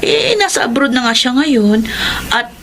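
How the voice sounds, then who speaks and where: A woman's voice: high voice, very animated, unhurried, fairly bright tone, a little hiss or hum, exaggerated voice. A woman acting a friendly, cautious confidante in a radio drama, chatty and advising, with a Filipino accent. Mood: friendly caution.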